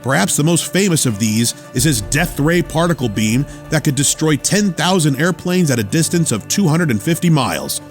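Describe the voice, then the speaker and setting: A man's voice: fairly high pitch, expressive, moderate pace, hardly any pauses, faint music behind, lightly acted. A man narrating a radio theater drama in a scripted storytelling style.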